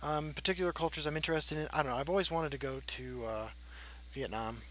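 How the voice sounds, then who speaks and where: A man's voice: a little high, fast talker, a bit bright, a little hiss or hum. A man hosting his channel, answering viewer questions in a rambling, frank way with many fillers. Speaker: channel host. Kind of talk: casual Q&A chat.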